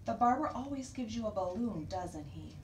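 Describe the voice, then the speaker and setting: A woman's voice: medium pitch, expressive, normal speed, close to an everyday voice. A woman voicing a flustered, exasperated mother in a storybook reading. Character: flustered grown-up mom, exasperated. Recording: roomy distant recording with faint hiss.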